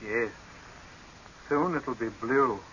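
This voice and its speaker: Forceful, deferential diving doctor. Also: male voice, mid-range voice. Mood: grave, explanatory. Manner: respectful, measured, formal. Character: deferential, earnest male doctor, deep-sea diving expert. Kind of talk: 1930s radio horror acting. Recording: dull, hissy old 1930s radio recording.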